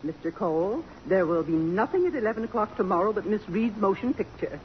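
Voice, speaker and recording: A woman's voice: mid-range voice, lively intonation, a little fast, close to an everyday voice. An actress playing a prim, courteous, fluttery college staff woman in mid-century American radio drama. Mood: puzzled. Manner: formal, genteel, fluttery. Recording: dull, noisy old radio broadcast recording.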